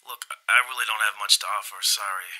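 A man's voice: on the low side, steady tone, brisk, bright, forceful tone, poor audio. An actor playing a reluctant, curt man who brushes people off on the phone, in an audio drama. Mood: curt, dismissive. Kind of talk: naturalistic audio drama acting.

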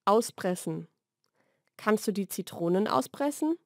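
A woman reads short scripted vocabulary lesson sentences in a clearly enunciated, measured way. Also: mid-range voice, expressive, no room sound, studio quality. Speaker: language lesson narrator. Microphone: studio voice-over recording.

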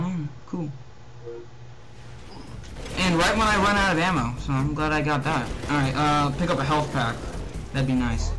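A young man giving casual, excitable gameplay commentary, often muttering to himself and exclaiming as he plays. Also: a little high. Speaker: let's play gamer. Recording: loud game music and gunfire behind. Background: loud video game music and gunfire.

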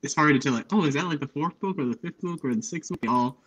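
A man's voice: fairly high pitch, fast talker, a bit bright, breathy edge. A young adult man in casual, loosely phrased conversation as a panelist on a book video call. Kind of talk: casual chat. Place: indoor home room on a video call. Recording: slightly dull video-call audio.

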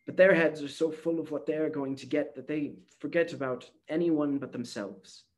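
A man reading a storybook aloud, voicing a tired, gentle old grandmother who speaks softly and sadly. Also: a little high, close to an everyday voice. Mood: tender weary. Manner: soft, slow, sighing and sad.

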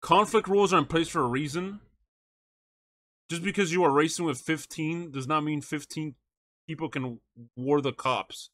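A male streamer with a fairly high voice, recorded dry and close. He speaks in a casual, slangy way, moving between reading text aloud and addressing his chat. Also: normal speed, fairly bright tone, no echo. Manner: slangy, says bro and chat often. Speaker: live streamer reacting to a video and talking to chat. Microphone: close streaming microphone.

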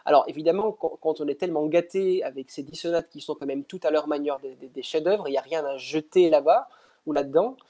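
A man's voice: a little high, a little fast, warm tone. A young man, not a native speaker, talking through a headset on a video call, thoughtful and hesitant with many restarts. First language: not French. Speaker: pianist interviewed. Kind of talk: casual interview over video call. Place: indoor room at home.

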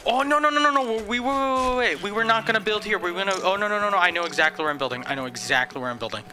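A man's voice: high-pitched, animated, rapid-fire, bright, forceful tone. A man reacting live to a video game, jumpy and exclaiming, often panicky and rambling. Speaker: gameplay streamer.

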